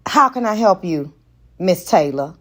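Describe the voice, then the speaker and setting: A woman's voice: on the low side, animated, measured pace, a bit bright. A woman narrator voicing a smitten, conciliatory man courting someone in an audiobook romance. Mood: formal.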